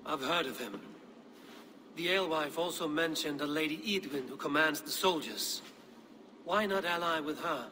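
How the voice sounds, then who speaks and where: Blunt, forceful Viking warrior. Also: male voice, fairly high pitch, normal speed, a little hiss or hum. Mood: teasing, critical. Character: bold, blunt Viking warrior, brother of a chieftain. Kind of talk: dramatic game cutscene acting.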